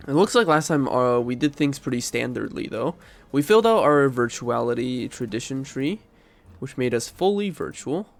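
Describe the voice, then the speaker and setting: A man with a congested, stuffy nose talks through a strategy game at an ordinary middle pitch. His voice has a gravelly, creaky edge. He rambles and corrects himself as he thinks aloud. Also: expressive, normal speed, faint music behind. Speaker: game streamer. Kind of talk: casual gameplay commentary.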